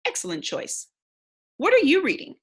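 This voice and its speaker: A woman voicing a wise, wary elderly man in a storybook, speaking in a measured, grave, musing way. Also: high voice, huge pitch swings, fairly bright tone, voice pushed up, heavily acted. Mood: pleased, curious.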